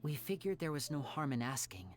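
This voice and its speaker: An actor playing a young male magician with secrets, speaking in a soft, reflective, measured game-dialogue style. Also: fairly high pitch, animated, close to an everyday voice. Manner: soft-spoken, reflective, measured theatrical delivery. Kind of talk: scripted dramatic game dialogue. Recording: music playing under the voice.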